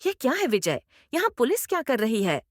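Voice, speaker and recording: A woman acts out a scheming middle-aged mother-in-law from a cartoon. Her voice is fairly high and swings widely in pitch. She is theatrical and domineering, recorded close and dry. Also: big pitch swings, fairly quick, no echo. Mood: defensive. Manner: scolding, theatrical, emphatic. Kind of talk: Hindi moral-story cartoon voice acting.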